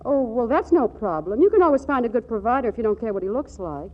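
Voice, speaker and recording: Restless young wife, high-pitched, flustered, affectionate but fed up; vintage radio comedy, muffled old recording. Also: female voice, lively intonation, fast. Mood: fretful, insistent. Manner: flustered, fluttery, stammering repeats. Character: restless, exasperated yet loving young wife. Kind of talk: 1940s radio comedy acting. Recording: dull 1940s radio broadcast recording.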